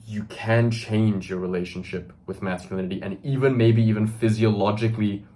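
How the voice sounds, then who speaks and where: A young man in his twenties with a very low, deep voice talks straight to the camera. He speaks earnestly and emphatically, often tagging his sentences with "right?" and pausing on fillers. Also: steady tone, dark tone. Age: 20s. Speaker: self-improvement video host. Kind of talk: direct-to-camera monologue.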